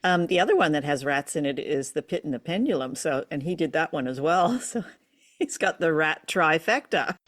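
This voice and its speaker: A woman's voice: sits low, animated, normal speed. A woman co-hosting a radio show and podcast, talking in a casual, conversational way.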